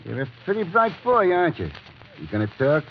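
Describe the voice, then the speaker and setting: Sneering, menacing gangster boss, muffled old radio. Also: male voice, fairly high pitch, big pitch swings, fairly quick, slight background noise. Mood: sneering menace. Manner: tough slangy talk, sneering taunts. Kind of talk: 1940s radio crime drama acting. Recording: muffled old radio broadcast, loud music behind.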